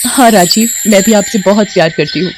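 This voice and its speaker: A woman's voice: medium pitch, brisk, noisy background, close to an everyday voice. A woman playing a devoted young bride in an audio family drama, tender and pleading. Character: devoted young bride, soft and grief-stricken.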